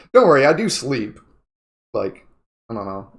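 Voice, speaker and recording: A young man with a raspy, sniffly voice, chatting casually to his viewers while streaming a video game. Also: mid-range voice, very animated, measured pace. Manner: chatty, reading chat aloud. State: sniffly, coughing. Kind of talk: casual chat while gaming. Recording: game music behind the voice. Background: video game music.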